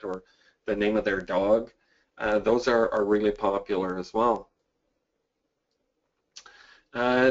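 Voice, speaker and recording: Upbeat Canadian-born man pitching software. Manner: casual, upbeat pitch with many fillers. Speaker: webinar host. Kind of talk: live sales webinar, reading chat questions. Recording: dull lo-fi audio.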